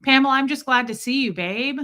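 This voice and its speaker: Bright-voiced Midwestern American woman, candid and chatty. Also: brisk. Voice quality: voice deeper than usual from lack of sleep. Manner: candid, chatty, breaks off to greet viewers. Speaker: livestream host. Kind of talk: casual livestream chat with viewers.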